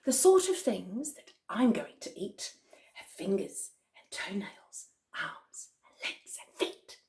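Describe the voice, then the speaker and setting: A big greedy storybook crocodile, acted out by a woman, gloating over every word. The delivery is very slow and drawn out, full of sly menace and wide swoops. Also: medium pitch, big pitch swings, vocal fry, darkish tone, whispered, heavily acted. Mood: sinister.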